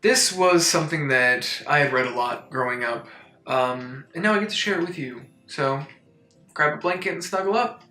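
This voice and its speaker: Bright-voiced man, deadpan comic storyteller. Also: fairly high pitch, normal speed, roomy sound, faint music behind. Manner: mock-serious, rambling, incredulous asides. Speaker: host of a comic storytime show. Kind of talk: deadpan comedic picture book review.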